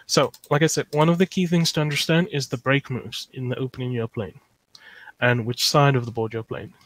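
A man from South Africa teaching chess live over an online call, pausing often with fillers as he thinks aloud and answers chat. The sound is dry and close, recorded at a desktop mic. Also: mid-range voice, steady tone, no room sound. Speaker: chess lecturer.

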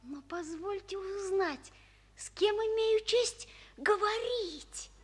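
Curious little boy, very high voice, takes his time, polite n earnest, old radio drama. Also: expressive, slow pace, full character voice. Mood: polite, wondering. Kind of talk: 1940s radio play acting.

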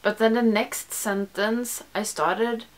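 A woman's voice: a little high, steady tone, takes it slowly. A young woman talks straight into her camera from a small room lined with makeup shelves. She speaks English as a second language and her talk is casual and rambling. She often stops mid-sentence and starts it over. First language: not English. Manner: rambling, self-correcting, frequent "like". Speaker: beauty YouTuber. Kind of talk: casual chat to camera, answering a tag.

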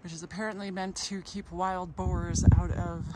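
A woman's voice: on the low side, flat delivery, normal speed, very noisy. A woman in her thirties vlogging while hiking a forest trail, talking casually and wryly into a phone held at arm's length. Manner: chatty, wry, breathy from hiking. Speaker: thru-hiker vlogger. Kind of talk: casual walking vlog.

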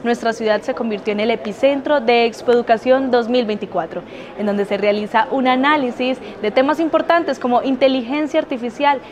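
Young woman, Colombian accent, high voice, scripted news presenting, busy crowd in an expo hall. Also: a little fast. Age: young adult. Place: large indoor exhibition hall.

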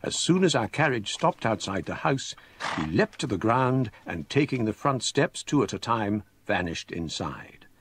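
A scholarly English gentleman narrates a radio ghost story. His low voice moves with lively intonation through measured, literary sentences, over a clearly noisy recording. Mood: brooding.

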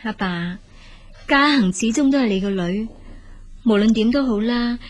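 A grown daughter from a radio play played by a woman, with a Hong Kong Cantonese accent. Her voice is fairly high, and she reasons earnestly, sounding burdened, sensible and patient but reluctant. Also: normal speed, close to an everyday voice. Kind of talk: radio drama acting.

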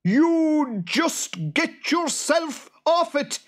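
A storyteller voicing a great hairy boggart from a children's tale, shrill and strained, blustering with rage and greed in drawn-out rustic phrases. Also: sing-song, heavily acted. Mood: shrieking rage. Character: great big hairy boggart, shrieking, raging, greedy and gullible.

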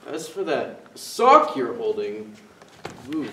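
A man's voice: medium pitch, huge pitch swings, slow, distant mic, a little hiss or hum, heavily acted. A young man on stage playing a comic family doctor in a sitcom. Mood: comic gravity. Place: theater stage. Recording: echoey theater, loud music and audience.

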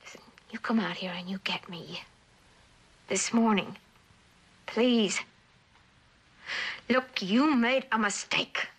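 A streetwise young woman from a vintage television suspense drama. Her voice sits at an average pitch. She talks bluntly in street slang, tough and defiant, with a wisecracking edge. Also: takes it slowly, long pauses, a bit bright, slightly rough. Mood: uneasy, defiant.

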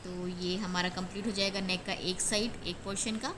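A woman's voice: mid-range voice, fairly even intonation, fairly quick, background noise. An Indian woman giving a casual, step-by-step craft tutorial, explaining as she goes and mixing in English words. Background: plucked guitar music.

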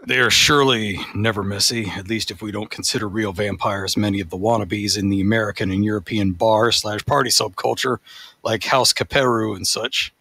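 Man putting on a pompous, bright-voiced vampire. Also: lowish voice, fairly even intonation, fairly quick, slightly rough, exaggerated voice. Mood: haughty. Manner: pompous, formal. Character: pompous self-styled vampire forum poster, haughty and pedantic. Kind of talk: dramatic reading of forum posts.

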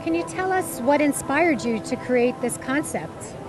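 A woman's voice: high voice, animated, unhurried. A middle-aged woman hosting on location with a handheld mic, bubbly and exclamatory as she interviews people and tours an exhibit. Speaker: host and interviewer. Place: outdoors on a city street and inside an exhibit. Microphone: handheld microphone. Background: music.

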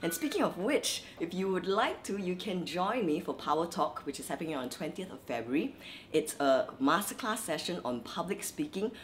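A young woman vocal coach, from Malaysia, giving lively, chatty tips in a vlog while her voice is dry and scratchy. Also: fairly low pitch, normal speed, faint music behind. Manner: lively, chatty, many fillers. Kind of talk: instructional vlog.

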